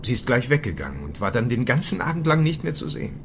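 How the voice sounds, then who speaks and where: A gentleman traveller speaks over clear ambient noise. His voice is mid-pitched, and he is formal and courteous, curious yet a little reserved, in the manner of radio drama. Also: very animated, quick pace. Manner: polite, formal. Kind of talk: German radio drama acting.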